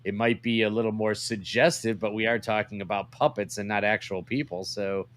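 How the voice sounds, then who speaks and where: A man's voice: on the low side, steady tone, brisk, a bit bright. A man co-hosting a podcast with casual, joking banter. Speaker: podcast co-host.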